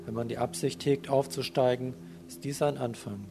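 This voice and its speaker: A man narrating a scripted spiritual message in a measured, solemn and formal read-aloud manner. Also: medium pitch, fairly even intonation, vocal fry. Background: music.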